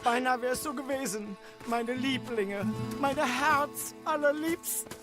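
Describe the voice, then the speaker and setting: A man's voice: extremely high pitch, unhurried, over music. A man with a German accent playing a grateful, flustered family man in a western game, effusive and earnest. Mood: relieved, tender. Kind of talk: cinematic western game acting.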